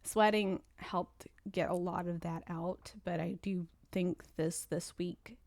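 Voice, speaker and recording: A woman's voice: lowish voice, fairly even intonation, slow, darkish tone, dry recording. A woman hosting her own podcast in casual, rambling chat, sounding stuffy and congested. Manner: rambling, chatty, frequent restarts. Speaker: podcast host.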